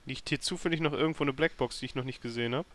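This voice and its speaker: A man with a mid-pitched voice hosts a let's play. He thinks aloud in a casual way as he plays. His commentary tends toward grumbling and dry sarcasm. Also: very fast, a bit bright. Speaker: let's player hosting a gameplay series.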